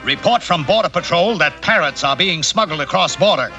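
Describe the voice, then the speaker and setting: An actor voicing a confident male police detective hero in a 1960s TV cartoon, with a crisp, commanding delivery. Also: fairly high pitch, expressive, a little fast, pushed and bright, muffled recording. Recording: faint music under dialogue.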